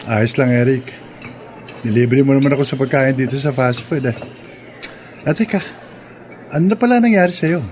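A man's voice: mid-range voice, normal speed, pauses often, a little mellow, slight background noise, natural voice. An actor with a Filipino accent playing an earnest young man, a devoted lover and online content creator, in a radio drama. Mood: casual, curious.

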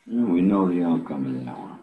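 Old guy w a low voice, blunt n salty, telling stories on a video call. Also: animated, narrow, tinny audio. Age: 70s or older. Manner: blunt, salty, with frequent pauses. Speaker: interview guest. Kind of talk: casual interview storytelling. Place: indoor home office, on a video call.

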